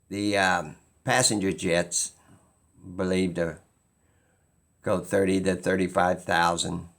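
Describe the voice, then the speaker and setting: A man talking informally and skeptically as he explains something, halting and pausing mid-sentence as he muses. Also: low voice, creaky, fairly bright tone. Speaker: video host.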